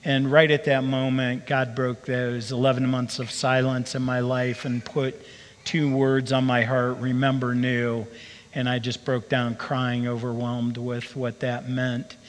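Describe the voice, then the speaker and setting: A man's voice: medium pitch, monotone, a little slow. A man, the founder of a ministry, earnestly telling his calling story in an interview. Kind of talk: personal testimony. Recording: long reverb of a hall.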